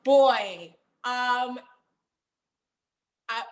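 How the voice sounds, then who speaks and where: A woman's voice: on the high side, very slow, roomy sound. A young woman from Haiti raised in Miami, talking animatedly and laughing often as an interview guest. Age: young adult. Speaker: guest, performer. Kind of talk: casual interview.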